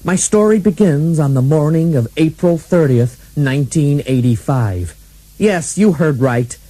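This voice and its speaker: An actor playing a deadpan hardboiled bodyguard and assassin who narrates his own story in a comic radio play. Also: on the high side, measured pace, no echo, poor audio.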